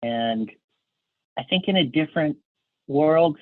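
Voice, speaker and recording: A man's voice: fairly high pitch, lively intonation, slow pace, no room sound, narrow, tinny audio. A man speaking casually as a writer guest on a podcast, often interrupting himself and joking.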